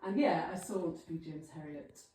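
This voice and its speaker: A Welsh woman in her thirties talks casually to a village group, chatty and self-deprecating. Her voice is low and mellow. She was recorded from some distance in a very echoey hall. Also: fairly quick, a little hiss or hum. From Wales. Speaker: guest speaker. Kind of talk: informal talk to a local group.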